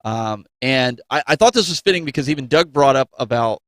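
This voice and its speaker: A man's voice: mid-range voice, animated, a little fast, fairly bright tone, no echo. Casual male co-host, very dry sound. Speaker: co-host of a gaming news show. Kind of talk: casual chat.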